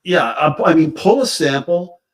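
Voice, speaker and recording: A man's voice: fairly high pitch, animated, moderate pace, distant mic. A man giving casual explanatory commentary, methodically listing his points. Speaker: live stream panelist.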